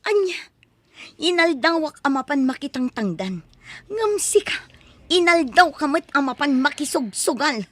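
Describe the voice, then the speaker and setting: A woman's voice: high-pitched, very animated, a little slow, a bit bright, no echo, heavily acted. A woman voicing a worried middle-aged wife and mother in an audio drama, pleading and anxious. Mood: alarmed. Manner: pleading, anxious, soothing to her son.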